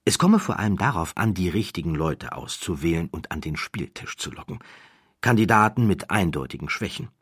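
Man, audiobook narration, very lively intonation, dry studio-like sound, expressive storytelling. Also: deep voice, fairly quick, husky, dry recording.

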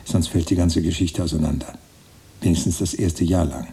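An actor playing a wistful, romantic writer-narrator in a literary radio drama, musing deliberately in short phrases. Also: male voice, deep voice, fairly even intonation, mellow. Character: wistful romantic male narrator-writer recalling his emigration voyage. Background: music.

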